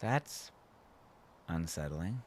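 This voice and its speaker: A man's voice: low voice, expressive, extremely slow, background noise, exaggerated voice. A man voicing a wry adult woman in an audiobook, speaking in a dry, casual manner. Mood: subdued, dry. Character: startled, wry adult woman companion on the road.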